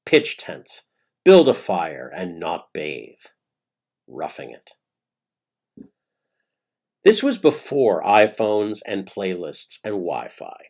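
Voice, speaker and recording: A middle-aged man with a Canadian accent reading a dramatic first-person monologue in a theatrical, suspenseful way with deliberate pauses. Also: low-pitched, darkish tone. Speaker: playwright reading his own play. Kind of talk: dramatic autobiographical monologue, storytelling.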